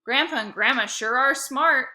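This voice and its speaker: A woman's voice: sits high, normal speed, sharp tone, pitched above the performer's own voice. A woman voicing a bossy, whiny, competitive young girl in a children's storybook. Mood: smug. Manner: insistent, squawking, whiny.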